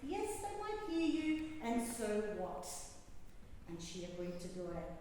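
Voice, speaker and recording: A woman coach gives a prepared speech, telling her story with animated energy and voicing her own instructions as she goes. Her voice sits a little high. The hall around her rings with long, echoing reverb, and she sounds distant from the microphone, with faint room ambience behind her. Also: measured pace, slight background noise. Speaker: public speaking coach. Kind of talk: prepared speech to a club audience.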